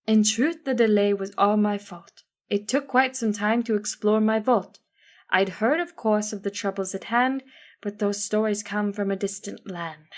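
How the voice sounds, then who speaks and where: A woman voicing a wise, cryptic female zebra healer in measured rhyming couplets. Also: medium pitch, natural voice. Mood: solemn.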